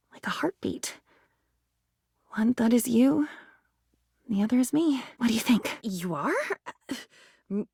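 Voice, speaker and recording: A woman's voice: high voice, dry recording, clean studio sound, full character voice. A woman voicing a sweet, naive and chatty living diary in a video game, rambling with wide-eyed wonder. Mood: intimate, earnest. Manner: breathless rambling, wide-eyed, swings from hushed to gushing.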